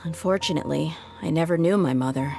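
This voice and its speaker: A woman's voice: low voice, fast talker, gravelly. A woman playing a tough, frank young mercenary leader in a video game, talking in a casual, wry way. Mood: resigned, bitter. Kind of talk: video game dialogue acting. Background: background music.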